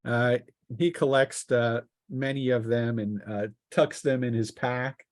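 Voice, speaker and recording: Male game master, medium pitch, improvised tabletop narration, halting delivery with frequent restarts. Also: fairly even intonation. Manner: halting, restarting descriptions mid-sentence.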